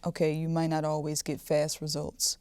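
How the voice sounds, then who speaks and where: A woman interviews as a podcast host in a calm, reflective way. Her voice is even and mellow, recorded dry and close, and her questions unfold at length. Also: sits low, moderate pace, clean tone, no room sound.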